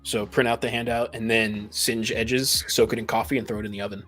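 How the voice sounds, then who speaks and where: A man's voice: on the low side, little pitch movement, brisk, a bit bright, soft background music. A man co-hosting a casual group podcast chat, explaining and giving advice with dry teasing. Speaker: podcast co-host.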